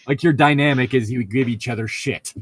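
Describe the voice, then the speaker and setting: A man's voice: mid-range voice, fairly quick, slightly rough. A young man in casual, joking, profane chat as a co-host reading a book aloud with commentary. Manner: profane, bantering.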